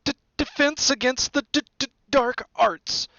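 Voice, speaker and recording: A man's voice: extremely high pitch, steady tone, a little slow, pushed and bright, no echo, highs cut off, pitched above the performer's own voice, exaggerated voice. A man voicing a timid, pale young wizard professor who stammers heavily and mutters hesitantly in an audiobook story. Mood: anxious, stammering. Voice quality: heavy stammer on consonants.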